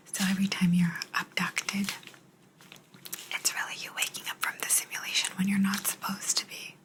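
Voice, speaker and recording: A woman playing a gentle, patient alien abductor in a soft, breathy ASMR whisper, confiding closely in the listener. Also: medium pitch, noisy background, lightly acted. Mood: calm, explaining. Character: gentle, apologetic female alien abductor explaining a simulation.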